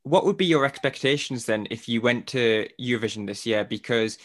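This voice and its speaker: A man hosting a podcast, reading scripted links and asking questions in a clear, measured way. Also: medium pitch. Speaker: podcast host. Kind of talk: interview host, scripted links.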